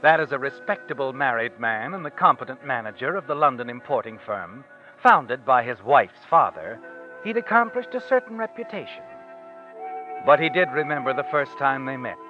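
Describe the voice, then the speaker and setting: An actor playing a sinister, all-knowing narrator of crime tales in a 1940s radio suspense show, speaking in an ominous, deliberate, dramatic way. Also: male voice, medium pitch, lightly acted. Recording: dull vintage radio recording with music.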